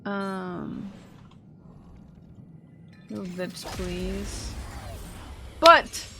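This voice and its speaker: A woman's voice: medium pitch, fairly even intonation, drawn-out words, creaky, bright, forceful tone, a little hiss or hum. A woman live-streaming a game, chatting casually with viewers in playful, slangy, profane banter. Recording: game music behind the voice. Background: video game music.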